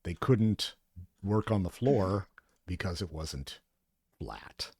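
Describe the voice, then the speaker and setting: A man with a low voice chats loosely while gaming, trailing off and restarting his sentences as he goes. The recording is dry and close, with no room sound. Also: big pitch swings, drawn-out words, darkish tone, breathy edge, no room sound. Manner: rambling, many fillers and restarts. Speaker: co-host playing an online game. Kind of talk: casual gaming chat.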